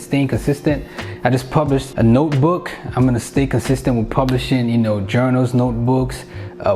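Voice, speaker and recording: A man's voice: mid-range voice, darkish tone. A young man from Cameroon answering viewer questions in a casual vlog, upbeat and repeating phrases for emphasis. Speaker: YouTube channel host.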